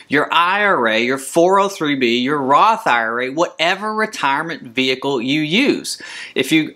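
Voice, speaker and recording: A man's voice: fairly high pitch, pushed and bright. A man presenting a scripted financial explainer as an advisor and host, emphatically repeating his key points. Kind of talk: scripted explainer talk to camera.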